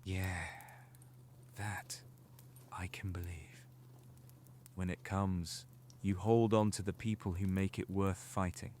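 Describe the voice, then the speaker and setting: A man's voice: sits low, level tone, slow, long pauses, a little mellow, slight background noise. A man playing a wry, world-weary middle-aged godfather in a fan audio drama, fatherly with youngsters. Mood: reassuring.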